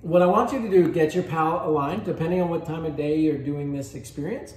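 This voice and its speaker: A man's voice: fairly high pitch, a little fast, darkish tone. A man leading a cheese tasting class, guiding listeners step by step in an easygoing way. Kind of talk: casual tasting instruction. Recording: echoey room, faint background noise.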